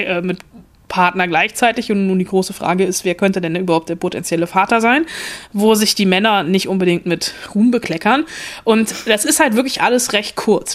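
A woman talks through a series review in a loose, rambling way. She stops often for fillers and restarts her sentences. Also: medium pitch. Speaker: film critic podcast co-host. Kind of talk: casual chat, reviewing a series.

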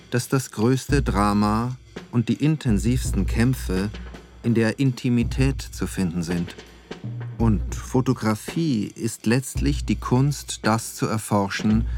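A male actor playing a reflective photographer, giving a measured, contemplative literary monologue in an audio play. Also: on the low side, a little mellow.

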